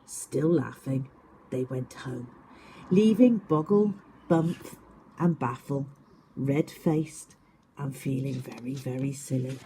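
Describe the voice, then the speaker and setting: A woman's voice: rumbling low, slow pace, mellow, a little hiss or hum, rough recording. A woman narrating a children's story expressively, as a teacher does at story time.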